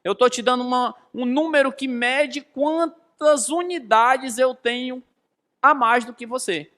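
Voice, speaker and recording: Brazilian guy in his 30s doing a physics class, high bright voice, keeps asking questions and repeating himself. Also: a little slow. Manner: didactic, emphatic, repeats key phrases and questions his listeners. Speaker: physics teacher. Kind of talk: explanatory lesson with rhetorical questions.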